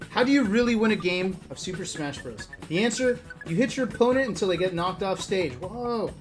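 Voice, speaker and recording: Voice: mid-range voice, animated, brisk. A streamer reading a book aloud in a casual way, breaking off for wry asides and talking directly to viewers. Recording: music playing behind the voice. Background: background music.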